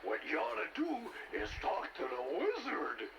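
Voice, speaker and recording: An actor voicing a big, friendly furry creature with drawn-out, exaggerated speech in a children's read-along story. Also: mid-range voice, wildly swooping pitch, vocal fry, a crowd in the background. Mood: friendly, helpful. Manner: drawn-out, wide-swooping delivery. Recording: noisy 1980s cassette.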